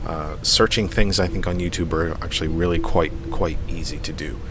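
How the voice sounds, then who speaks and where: A man hosting a live radio talk show, congested and sniffly from allergies, speaking deliberately and repeating phrases for emphasis. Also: low voice, fairly even intonation, noisy background. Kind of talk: live internet radio talk, program announcements.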